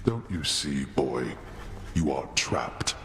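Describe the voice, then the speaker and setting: A man's voice: sing-song, slow pace, exaggerated voice. A man voicing a stern, disdainful old god of the underworld in a video game, speaking with pompous formality. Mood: menacing. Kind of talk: video game character acting. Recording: booming echoey hall, faint noise.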